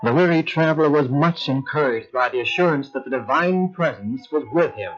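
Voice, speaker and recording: A man's voice: mid-range voice, expressive, a little fast. A man narrating a scripted biblical drama in a solemn storytelling manner. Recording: muffled sound with music underneath. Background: music.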